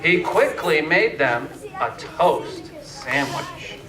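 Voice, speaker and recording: Echoey, distant, slow storytelling man. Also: fairly high pitch, huge pitch swings, crowd noise. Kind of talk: scripted fairytale narration. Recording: echoey room, distant mic, faint noise.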